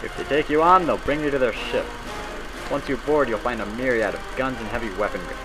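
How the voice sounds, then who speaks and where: A man's voice: mid-range voice, poor audio. Man narrating like a 1940s training film, music behind. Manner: brisk, instructive, folksy period phrasing.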